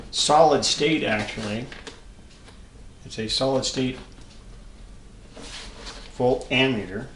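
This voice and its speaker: A man in his seventies giving a casual, unscripted hobby show-and-tell, halting and rambling as he thinks aloud. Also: medium pitch. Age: 70s. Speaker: hobbyist vlogger showing vintage electronics. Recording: echoey room with clear background noise and clicks.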